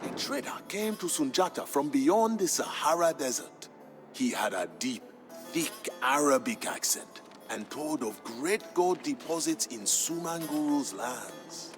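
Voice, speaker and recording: Sweeping, theatrical griot storyteller over music. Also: male voice, on the high side, animated. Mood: solemn, dramatic. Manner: deliberate, grave, theatrical. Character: proud West African griot storyteller, solemn bard of royalty. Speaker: narrator. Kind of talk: dramatic scripted storytelling narration for a game.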